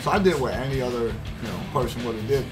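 A man's voice: medium pitch, animated, rapid-fire. A young man streaming from a headset at his gaming desk, telling a long story in a loose, profane, rambling way full of fillers. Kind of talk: casual storytelling while gaming. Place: indoor room at gaming desk. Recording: background guitar music playing.

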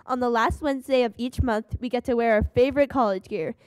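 A young student presenter reading a school report aloud at a desk microphone, with small slips. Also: female voice, on the high side, fairly even intonation, fairly quick, a little mellow, clear, resonant tone, dry recording.

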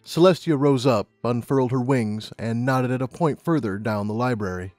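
A man narrates a story from a script. His voice is medium in pitch and recorded dry and close, with music playing behind it. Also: moderate pace, a little mellow, no room sound. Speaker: audiobook narrator.

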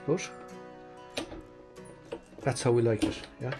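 A man's voice: mid-range voice, slow, faint music behind. A man doing casual how-to narration in his garage workshop, thinking aloud as he works and calling viewers guys. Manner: relaxed, thinking aloud while working, addresses viewers as guys. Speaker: restoration vlogger.

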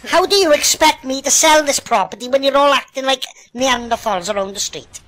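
A man's voice: very high voice, brisk, pushed and bright, a little hiss or hum. A Welsh man in online game voice chat, scolding other players in an indignant, sweary rant. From Wales. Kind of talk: comedic in-game trolling rant.

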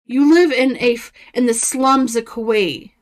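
A woman's voice: on the high side, unhurried. Blunt, sarcastic woman in her thirties. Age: 30s. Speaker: reaction commentator. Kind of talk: casual reaction commentary.

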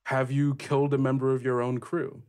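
A man with a mid-pitched voice runs a tabletop role-playing game. He narrates scenes and puts questions to the players. His phrasing is deliberate and halting, as he feels out each piece of the sentence. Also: little pitch movement, a little mellow. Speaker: game master.

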